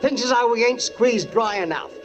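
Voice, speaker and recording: Grumbling pub regular, vintage radio drama, high-pitched. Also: male voice, moderate pace, dull audio, over music. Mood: resentful. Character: resentful working-class London pub gossip. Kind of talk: 1940s radio acting.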